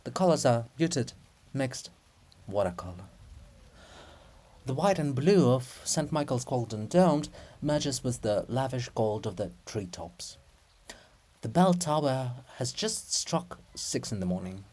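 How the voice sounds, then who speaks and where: Slow, measured male narrator. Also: medium pitch, lively intonation, dark tone. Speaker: narrator of a play reading. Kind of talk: dramatic scripted narration of stage directions.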